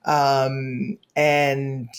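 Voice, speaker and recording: A man's voice: medium pitch, level tone. Earnest young man from Ghana. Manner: earnest, measured, with fillers. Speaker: guest. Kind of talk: telling his life story in an interview.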